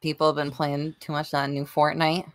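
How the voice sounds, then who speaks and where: Deep-voiced woman in casual podcast banter. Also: level tone, moderate pace, no room sound, clean studio sound.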